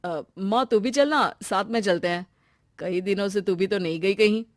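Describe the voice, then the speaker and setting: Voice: mid-range voice, moderate pace, no room sound, clean studio sound, natural voice. An actor playing a devoted, easygoing young husband and son in a cartoon family drama. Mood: agreeable.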